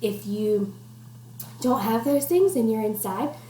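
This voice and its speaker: Slow, higher-voiced woman reading to children. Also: warm tone. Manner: encouraging, playful, simple questions addressed to children. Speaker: teacher hosting a children's read-aloud. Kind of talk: interactive picture-book read-aloud to young children. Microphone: computer microphone. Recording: roomy echo, noticeable background noise.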